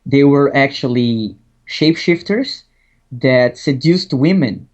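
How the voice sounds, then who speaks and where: A man's voice: medium pitch, slow, darkish tone. Brazilian-accented man on lo-fi audio. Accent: Brazilian Portuguese. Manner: hesitant, many restarts, laughs often. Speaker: guest. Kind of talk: casual podcast chat. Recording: dull lo-fi audio.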